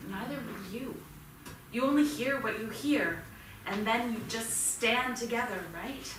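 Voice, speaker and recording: A woman's voice: fairly high pitch, a little slow, close to an everyday voice. An actress playing a protective, struggling single mother in a staged reading, earnest and defensive. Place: theatre stage, recorded from a distance. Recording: distant mic, echoey theatre, clear noise.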